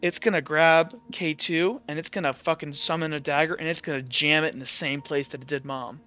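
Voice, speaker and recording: A man running a tabletop roleplaying game as game master, improvising dramatic narration haltingly and swearing casually. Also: fairly high pitch, fairly even intonation, sharp tone, highs cut off, soft background music.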